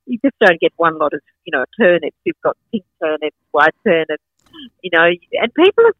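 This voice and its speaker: A woman's voice: lowish voice, big pitch swings, brisk, dry recording. Enthusiastic middle-aged woman, Sri Lankan accent, rambling guest; dull dry lo-fi audio. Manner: enthusiastic, rambling with many fillers. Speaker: guest, market founder and farmer. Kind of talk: casual interview chat.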